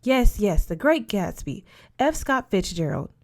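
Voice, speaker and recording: Woman acting out a scatterbrained, halting older male professor. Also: mid-range voice, big pitch swings. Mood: rambling, fond. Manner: halting, scattered, repeats words. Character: eccentric absent-minded older male English teacher.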